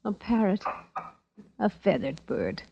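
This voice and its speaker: An actress playing an uneasy, brooding young woman in a vintage radio drama, with hushed, earnest, poetic delivery. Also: medium pitch, sing-song, slow pace, creaky, breathy edge, lo-fi sound, exaggerated voice. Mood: foreboding. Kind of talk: 1940s-50s radio drama acting.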